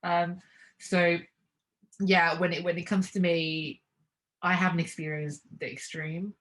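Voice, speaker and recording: A woman's voice: lowish voice, flat delivery, a little slow. A young woman talking casually as an interview guest, reflective, with hesitant restarts and light laughs.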